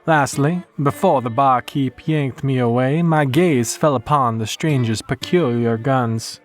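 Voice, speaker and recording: A man's voice: mid-range voice, unhurried. Man narrating a western horror tale over guitar. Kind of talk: scripted first-person western horror narration. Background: plucked guitar music.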